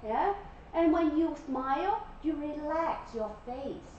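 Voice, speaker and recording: A woman's voice: sits high, lively intonation, slow pace, a little mellow, slight background noise. A woman in her forties giving an interactive classroom lecture and often checking in with "okay?" and "yeah?". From Malaysia. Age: 40s. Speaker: guest lecturer. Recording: echoey classroom from far off, dull.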